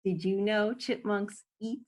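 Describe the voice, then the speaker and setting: Unhurried woman reading a children's story aloud. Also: medium pitch, steady tone, a little mellow. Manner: unhurried, explanatory, chuckling asides. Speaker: author reading own book aloud. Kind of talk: children's read-aloud with casual asides. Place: at home.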